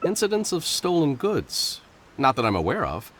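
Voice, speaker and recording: A man's voice: fairly high pitch, huge pitch swings, a bit bright, full character voice. A man voicing a courteous, dutiful island soldier in a video game, speaking formally and firmly. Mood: assured. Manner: formal, measured. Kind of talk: scripted video game voice acting.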